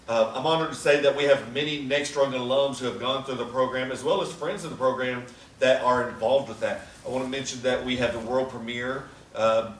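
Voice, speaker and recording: A man's voice: mid-range voice, steady tone, a little fast. Man making announcements in a roomy hall. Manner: chatty, teasing, with laughs. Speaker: theater company organizer. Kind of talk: casual announcements to an audience. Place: theater or event room. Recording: roomy, from far off, faint noise.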